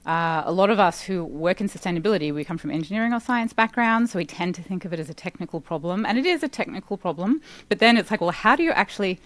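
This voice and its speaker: Animated Australian woman, low-pitched. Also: quick pace, hardly any pauses, no room sound. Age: 40s. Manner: animated, talkative, with frequent "like" fillers. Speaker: guest, sustainability expert. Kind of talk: casual podcast interview.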